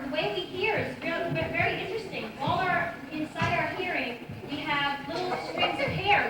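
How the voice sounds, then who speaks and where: A woman puppeteer patiently answering children's questions and explaining things to a school audience. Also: sits high. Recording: echoey room from far off, noisy.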